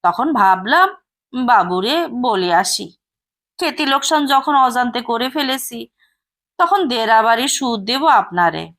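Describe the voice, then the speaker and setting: A humble village laborer speaks in soft, pleading tones, as a woman reads his part. His speech is slow and unhurried, with a voice that rises and falls a lot. He sounds honest and meek throughout. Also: on the high side, animated.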